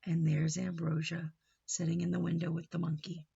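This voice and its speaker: A woman reading a picture book aloud to children, expressive, with questioning and explanatory asides. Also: fairly low pitch, normal speed, vocal fry, dull audio, slight background noise. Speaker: storybook reader teaching children.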